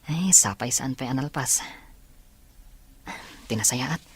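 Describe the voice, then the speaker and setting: A woman playing a kind, encouraging young male student in a radio drama, speaking gently and reassuringly. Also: a bass voice, slow, husky, full character voice. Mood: tender. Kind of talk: Ilocano radio drama acting. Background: faint background music.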